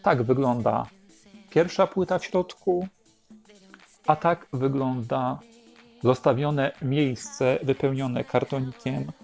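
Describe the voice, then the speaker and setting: Male host, explanatory and unhurried, mid-pitched and relaxed, over light music. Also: vocal fry. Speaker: host presenting a record collection. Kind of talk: unhurried show-and-tell narration.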